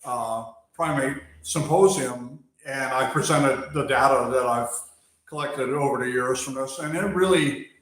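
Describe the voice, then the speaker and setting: A man's voice: mid-range voice, a bit bright. American-accented man on a very echoey stream. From Germany. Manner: unhurried, pausing with fillers, answering chat between thoughts. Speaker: wildlife channel host. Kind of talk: live stream chat with viewers. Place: outdoors or open area while working on a bike. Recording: very echoey space.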